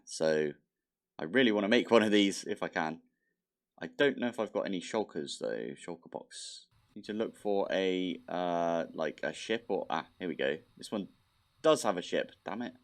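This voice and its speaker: A man's voice: sits low, lively intonation, normal speed. A man casually commentating his modded block-building game, thinking aloud with mild exasperation, his nose slightly blocked. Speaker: modded Minecraft let's play host.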